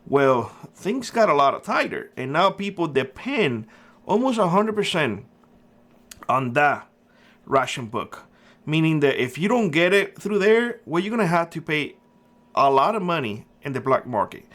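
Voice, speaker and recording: A man's voice: a little high, very animated, a little slow, a bit bright. A middle-aged man from Cuba hosting a prepper channel, talking earnestly and emphatically in a casual monologue with frequent fillers. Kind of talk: casual opinion monologue to camera.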